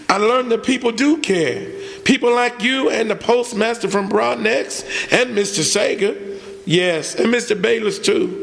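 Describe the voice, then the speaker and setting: A man playing a humble, earnest young country man with folksy, halting, sincere speech in a radio drama. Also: extremely high pitch, creaky, fairly bright tone, heavily acted. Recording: reverberant hall stage recording.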